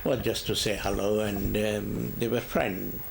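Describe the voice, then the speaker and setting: An older retired man, born in India, answering oral history questions in a halting way with many pauses and fillers. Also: on the low side, little pitch movement, creaky, over music. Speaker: interviewee.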